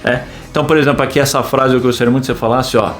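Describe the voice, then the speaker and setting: A man explains things in a playful, teasing way while co-hosting a casual talk, and keeps quizzing his partner. His voice is in a middle pitch. Soft guitar music plays behind him. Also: fairly even intonation, very fast. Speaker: podcast co-host. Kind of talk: casual film chat.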